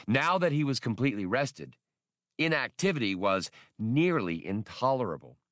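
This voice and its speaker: A man's voice: mid-range voice, big pitch swings, moderate pace, a bit bright, no room sound, studio quality. A man reading a science fiction novel as scripted narration. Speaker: audiobook narrator.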